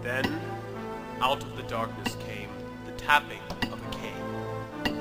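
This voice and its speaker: A man's voice: mid-range voice, slow pace. A man narrating a melodramatic story as scripted, in a theatrical, emphatic way. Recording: long reverb, music behind.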